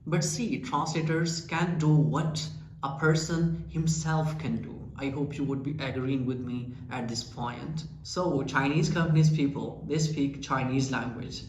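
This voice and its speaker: A man's voice: medium pitch, fairly even intonation, measured pace. A man with a Pakistani accent teaching an English lesson, explaining earnestly and asking rhetorical questions. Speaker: English teacher and channel founder. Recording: echoey room with faint ambient noise.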